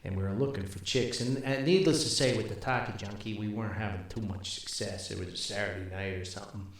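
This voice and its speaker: A man's voice: fairly low pitch, fairly even intonation, quick pace. An older man telling casual anecdotes as a podcast host, in a rambling, folksy manner. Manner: rambling, folksy, chuckles at own stories. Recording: very echoey, reverberant room sound.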